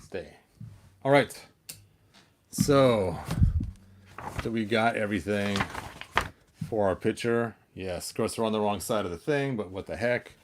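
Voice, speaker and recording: A man with a somewhat low voice talks at a very unhurried pace. He sounds like a casual hobby host, playful and half muttering to himself. Light background noise sits behind the voice. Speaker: toy collector hosting a story-time video. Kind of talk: casual chat while handling action figures.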